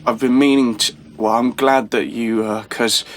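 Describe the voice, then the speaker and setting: A man's voice: medium pitch, fairly even intonation, moderate pace, slight background noise, lightly acted. An actor playing a nervous, hesitant young British man in an audio play, stumbling over his words. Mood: awkward, eager. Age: young adult. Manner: hesitant, stammering, self-interrupting. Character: awkward, nervous young man, eager and self-reproaching. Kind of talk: dramatic monologue and dialogue, audio play.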